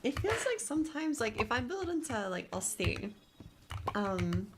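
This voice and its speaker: A woman's voice: on the high side, big pitch swings, unhurried, noisy background. A woman with an Australian accent, chatting casually as a streamer, laughing often and rambling with fillers. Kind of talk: casual chat.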